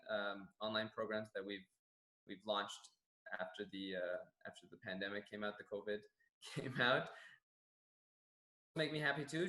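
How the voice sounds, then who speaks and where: Young man, from Canada, Farsi first language, gym manager, earnest, reflective, restarts often, bright voice, echoey room. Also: mid-range voice. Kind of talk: staff profile interview answers.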